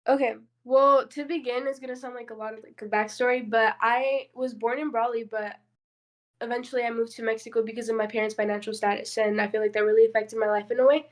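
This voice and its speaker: A woman's voice: fairly high pitch, level tone, quick pace. A young woman whose first language is Spanish, speaking openly and personally on a discussion panel, with a lot of fillers. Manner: open, personal, many fillers.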